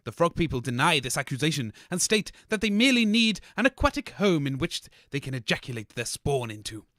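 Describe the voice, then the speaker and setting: A man playing a grumpy, excitable local radio host in a comedy show, reading news and bantering. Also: on the high side, fairly quick, dry recording, studio quality. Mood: mock-serious. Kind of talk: comic radio acting as newsreader and banter.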